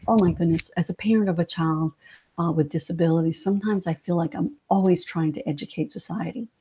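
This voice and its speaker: A woman presenting a training webinar, hoarse and raspy, talking in a halting way with many fillers and restarts. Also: on the low side, dark tone, poor audio.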